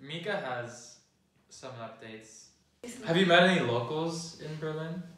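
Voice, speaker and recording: A man's voice: fairly high pitch, lively intonation, a little slow, a bit bright. A young man from Puerto Rico bantering casually in an interview on a living room sofa. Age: young adult. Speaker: student interviewee. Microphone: far camera. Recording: very echoey room, distant mic.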